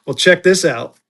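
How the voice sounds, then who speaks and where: A man hosting a live-stream auction of paper money, chatty, jokey and excitable. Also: sits high, slightly rough. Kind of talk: casual live-stream selling chat.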